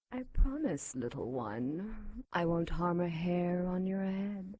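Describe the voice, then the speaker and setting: A woman's voice: fairly low pitch, warm tone, dry recording. An actress playing a captive female demon in an audio drama, seductive, sardonic and defiant, with a theatrical, taunting delivery.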